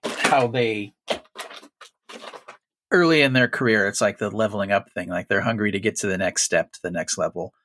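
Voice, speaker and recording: A man co-hosting a casual film podcast, rambling with frequent restarts and animated bursts. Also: lowish voice, moderate pace, long pauses. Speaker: podcast co-host.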